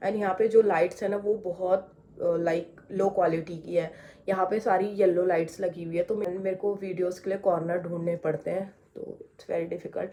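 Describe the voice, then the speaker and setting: A hoarse young woman from India talks through her skincare routine at home, chatty and explaining each step. Her voice sits fairly low for a woman and sounds dark and mellow, with a heavy, worn edge from a sore throat. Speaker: beauty vlogger. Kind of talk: casual skincare tutorial chat. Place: indoors at home.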